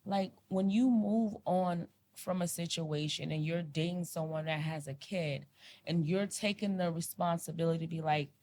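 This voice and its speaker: A woman's voice: on the low side, moderate pace, darkish tone, a little hiss or hum. A woman co-hosting a podcast, speaking with passion, repeating herself as she pleads with listeners. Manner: impassioned, repetitive, pleading. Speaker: podcast co-host. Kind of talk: earnest podcast discussion.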